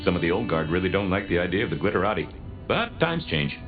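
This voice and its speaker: A low, lively voice for a wry old vampire from a video game. He is chatty, folksy and theatrical, his pitch swinging widely. The sound is muffled, with music underneath. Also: fast talker. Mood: wry amusement. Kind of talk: video game dialogue acting.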